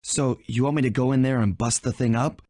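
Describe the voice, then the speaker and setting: A man's voice: medium pitch, fairly even intonation, a little fast, warm tone, no echo, lightly acted. Man playing a wry, cool master thief, dry sound. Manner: wry, laid-back. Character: cool, wisecracking young male master thief. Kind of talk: video game dialogue.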